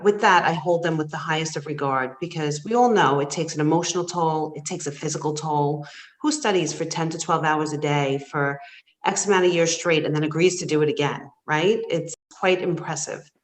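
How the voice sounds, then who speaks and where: A woman's voice: deep voice, a little fast. A woman around fifty, a program director, answering interview questions in an emphatic, admiring way. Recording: echoey room.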